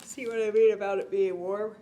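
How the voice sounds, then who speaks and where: A woman hosting a children's storytime, reading a bedtime story aloud in an expressive manner and talking gently to young listeners. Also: medium pitch, brisk. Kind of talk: read-aloud bedtime story with chatty asides. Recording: clearly noisy background.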